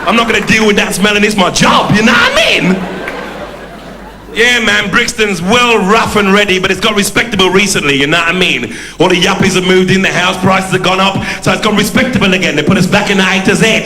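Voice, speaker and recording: A man's voice: sits high, expressive, a little fast, over music, pitched above the performer's own voice. A man on a live comedy stage playing a cocky, boastful young man from Brixton with a South London accent, who keeps asking "you know what I mean". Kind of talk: stand-up character act. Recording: echoey hall with crowd laughter.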